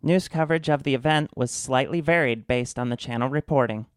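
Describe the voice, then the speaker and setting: A man's voice: medium pitch, fairly quick, clear, resonant tone, no room sound, studio quality, natural voice. A man playing a wry, mock-serious storyteller narrating a satirical radio drama. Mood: mock-grave, wry.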